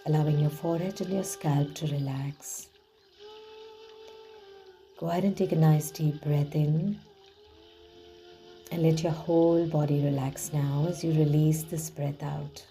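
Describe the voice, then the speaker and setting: A woman leading a guided relaxation visualization in a soothing, hushed manner with gently drawn-out phrasing. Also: deep voice, steady tone, gravelly. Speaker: guided meditation host. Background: background music.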